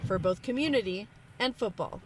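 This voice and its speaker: A woman's voice: medium pitch, normal speed. A woman with an American accent narrating a scripted television news report on a noisy recording.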